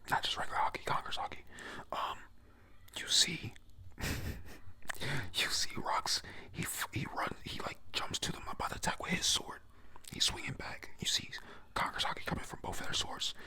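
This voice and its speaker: A voice whispering a casual, profane recap of an anime episode, often stumbling over names and restarting sentences. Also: pushed and bright. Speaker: recap narrator.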